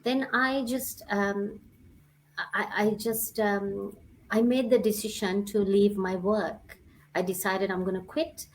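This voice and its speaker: A woman in her forties, originally from Sri Lanka, gives a personal testimonial. She speaks hesitantly, filling her pauses with many ums and uhs, at a mid-range pitch. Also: fairly even intonation, a little hiss or hum, poor audio. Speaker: guest sharing her story.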